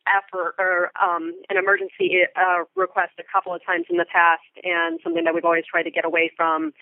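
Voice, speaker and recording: An American woman being interviewed as a Foley artist, explaining things thoughtfully and in detail, with halting pauses. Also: fairly low pitch, no room sound, narrow, tinny audio. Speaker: guest, theatre Foley artist. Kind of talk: interview conversation.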